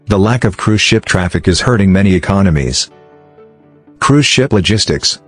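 A man reading a scripted explainer narration in a measured, formal way. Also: low voice, expressive. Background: background music.

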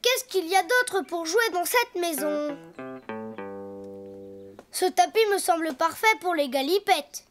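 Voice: very high-pitched, unhurried, pauses often, over music. A performer voicing a cheerful, imaginative little boy donkey in a children's cartoon, chatty and childlike. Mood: playful. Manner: childlike, talking to himself, expressive.

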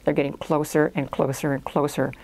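Older lady on a podcast, pretty deep voice, crackly, explaining stuff step by step. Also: level tone, brisk, a little mellow. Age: older, about 60s or 70s. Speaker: guest, language institute owner. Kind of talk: conversational interview answers. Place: small indoor podcast studio.

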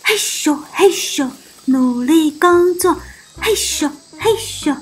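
A woman's voice: sits high, expressive, slow pace, faint music behind, voice pushed up. A woman voicing a sensible, hardworking ant in a children's storybook, earnest and admonishing. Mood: busy, effortful. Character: hardworking, sensible ants, scolding the idle.

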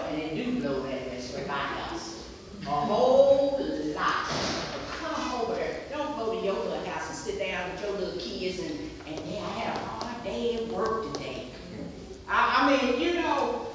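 A woman's voice: mid-range voice, background noise. A middle-aged woman with a West Virginia accent, standing to speak at a public hearing, emphatic and repetitive. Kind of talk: impassioned public comment. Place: community center meeting room. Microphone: far camera across the room. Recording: echoey room from far off.

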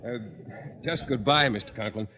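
An actor playing an earnest, shy, naive young schoolteacher in old radio comedy, polite and a little hesitant. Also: male voice, medium pitch, moderate pace, a crowd in the background, lightly acted. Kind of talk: 1950s radio comedy acting. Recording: hissy old radio recording, long reverb.

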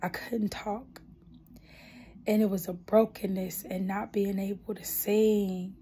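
A hoarse young woman speaking softly and earnestly about her faith, half whispering at times, filmed close on a phone in a small dim room. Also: mid-range voice. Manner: soft-spoken, earnest, partly whispered. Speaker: gospel singer. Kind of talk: spoken testimony then a cappella gospel singing. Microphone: phone camera held close.

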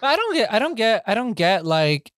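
A man with a high, feminine-sounding voice co-hosts a casual podcast. His pitch rises and falls a lot as he talks, and the recording is dry and close. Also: sing-song, a little fast, a bit bright, no room sound. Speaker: podcast co-host.

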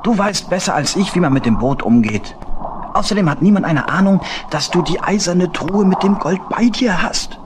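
Children's audio play: conspiratorial mouse boat builder, hesitant, lively intonation, clearly noisy recording. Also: male voice, high voice, normal speed. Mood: reassuring. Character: secretive wealthy water-mouse boat builder, friendly and anxious. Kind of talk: German children's radio play acting.

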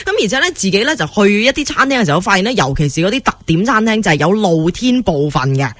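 A woman's voice: medium pitch, big pitch swings, quick pace, dry recording. A woman with a Hong Kong Cantonese accent presenting as a radio host. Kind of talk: radio chat and scripted presentation.

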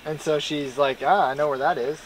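A man's voice: fairly high pitch, fairly even intonation, fairly quick, crowd noise, rough recording. A man in his thirties chatting casually on his rural homestead, easygoing and joking. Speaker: homesteading vlogger. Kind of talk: casual outdoor chat. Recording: outdoor camera audio with clear background noise.

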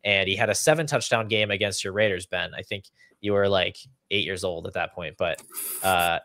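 A young man with a lowish voice talks through sports analysis like a podcast host. He explains numbers and lists conversationally, recorded dry and close in a room at home. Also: moderate pace, pushed and bright, no room sound. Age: young adult. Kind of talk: fantasy football analysis, conversational. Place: small room at home, indoors. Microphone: video call setup.

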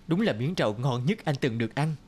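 A man's voice: mid-range voice, lively intonation, fast, lightly acted. A man playing an easygoing, affectionate young village suitor in a cartoon folktale. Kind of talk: animated folktale acting.

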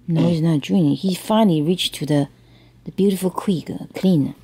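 Mellow woman reading aloud. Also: lowish voice, moderate pace, a little hiss or hum. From Taiwan. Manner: explains and checks understanding with questions. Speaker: parent reading a picture book to child. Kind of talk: read-aloud storytelling with bilingual explanations.

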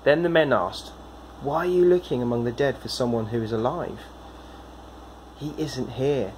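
A man's voice: medium pitch, poor audio. Man reading scripture, faint hiss. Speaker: Bible reader. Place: room at home, indoors. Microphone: phone propped at arm's length.

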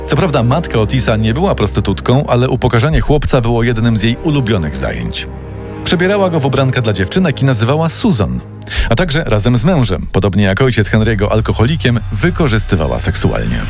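A man narrating a scripted true-crime story in a dramatic storytelling style. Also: medium pitch.

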